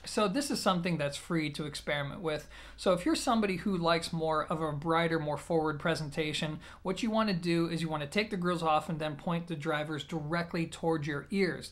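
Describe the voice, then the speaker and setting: A man's voice: fairly high pitch, a bit bright. A man, hosting a review in a casual, methodical, conversational way. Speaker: audio gear reviewer, host. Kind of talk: casual, explanatory product review to camera. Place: small plain-walled room indoors.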